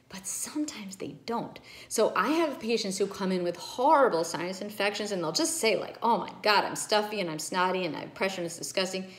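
A middle-aged woman doctor explaining nose and sinus care to a phone camera at home, earnest and emphatic. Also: medium pitch. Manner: earnest, emphatic, gestures with her hands. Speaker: ENT doctor. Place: home room with bookshelves, indoors. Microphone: phone camera at arm's length.

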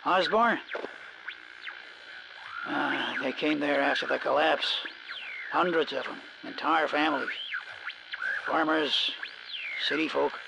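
An actor playing a folksy, jittery American survivor in a video game, talking over a two-way radio with stammers and homespun phrasing. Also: male voice, a little high, takes it slowly, creaky, pauses often, loud music behind the voice, heavily acted. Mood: somber, wistful. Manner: folksy, drawling small-town turns of phrase, stammering. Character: folksy, jittery survivor named Rusty, stranded among the undead. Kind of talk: video game cutscene acting. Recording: noisy walkie-talkie radio transmission.

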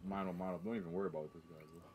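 A man's voice: deep voice, little pitch movement, rapid-fire, heavy background noise. A man chatting casually with his teammates during a game, slangy and prone to swearing. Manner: slangy, profane. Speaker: gaming teammate. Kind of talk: casual chat over gameplay.

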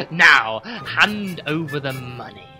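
An actor playing a pompous mad-scientist supervillain in a comedy audio drama, with grandiose theatrical delivery. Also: male voice, a little high, bright, forceful tone, full character voice. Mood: gloating menace.

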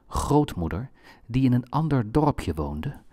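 Man, medium pitch, slow delivery, scripted storytelling, measured fairy-tale narration. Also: very animated, dark tone.

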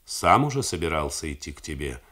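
A man's voice: rumbling low, big pitch swings, brisk, pushed and bright, voice pushed down, exaggerated voice. A man voicing a plainspoken army commander-in-chief in a radio novel reading. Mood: friendly.

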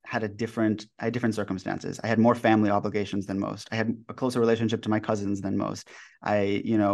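A young man in his twenties from the United States, a guest author talking casually in an interview from a bright room at home over a video call, relaxed and full of fillers. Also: fairly low pitch. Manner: relaxed, loose, with many fillers and restarts.

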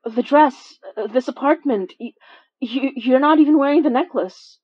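An actress playing an anxious, earnest woman investigator, speaking haltingly in an audio drama. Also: high voice, slightly rough, dry recording. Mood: alarmed, urgent. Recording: dry, slightly dull close recording.

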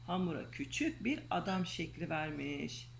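Soft-voiced mother reading a storybook. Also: low-pitched, lively intonation, vocal fry, a little hiss or hum. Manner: gentle, coaxing, reads slowly. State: losing her voice. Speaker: mother reading aloud to her daughter. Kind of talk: reading a children's storybook aloud. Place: home bedroom, indoors.

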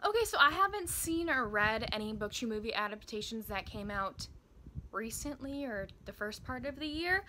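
An American woman in her twenties chatting casually to camera as a book vlogger, gushing and emphatic in an expressive way. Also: a little high, normal speed, slight background noise. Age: 20s. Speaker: book video blogger. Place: small indoor room by bookshelves.

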